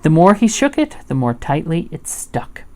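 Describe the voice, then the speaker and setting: A woman reading a children's story aloud as an expressive storyteller. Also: sits low, moderate pace.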